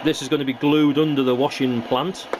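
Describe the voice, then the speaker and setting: British guy casually showing his hobby build, mid pitch voice, clear background noise on the recording. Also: steady tone, fairly quick. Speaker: model railway hobbyist presenting a build. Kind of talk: casual hands-on demonstration narration. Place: indoor hobby workbench. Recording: noisy room recording with hum.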